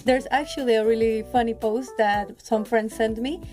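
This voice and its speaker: A young woman from Mexico, a student guest on a podcast, chatting in a relaxed, upbeat way with many likes. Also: a little high, normal speed, faint music behind. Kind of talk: casual podcast chat.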